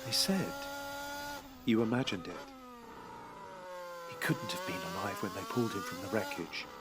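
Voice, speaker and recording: A man's voice: fairly low pitch, very animated, moderate pace, heavy background noise. A man giving scripted dramatic narration in an intense, rhythmic way. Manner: intense, rhythmic, second-person at times.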